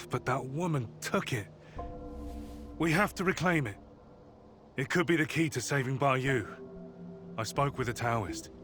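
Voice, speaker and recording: An earnest, determined comrade in a game cutscene, played by a man. He speaks at a middle pitch with serious concern and a protective, wary tone. Music plays clearly behind him. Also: lightly acted. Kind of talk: dramatic video game cutscene acting.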